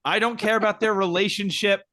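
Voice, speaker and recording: Blunt, bright-voiced man in casual chat. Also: high-pitched, fairly even intonation, a little fast, no echo, studio quality. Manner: blunt and sardonic, swears freely. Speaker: podcast guest.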